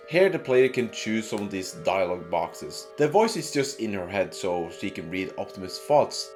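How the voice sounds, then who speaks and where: A man giving a casual, narrated video game review in informal, slangy language. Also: fairly low pitch.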